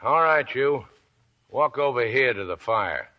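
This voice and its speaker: An actor playing a greedy, paranoid young prospector who snarls and mutters to himself, in an old radio play. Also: male voice, mid-range voice, expressive, unhurried, close to an everyday voice. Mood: menacing. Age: young adult. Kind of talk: 1940s radio acting. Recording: dull lo-fi old radio recording.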